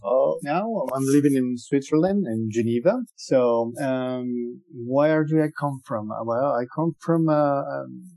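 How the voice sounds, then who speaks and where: A man from Venezuela, a guest artist in a podcast interview, who talks in a halting way, repeating words and searching for phrases. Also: medium pitch, mellow.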